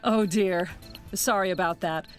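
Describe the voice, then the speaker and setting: A woman's voice: on the low side, measured pace, soft background music, close to an everyday voice. A woman voicing a snide, mock-serious cat news anchor in a cartoon, with an arch and sarcastic delivery. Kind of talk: cartoon newsreader parody.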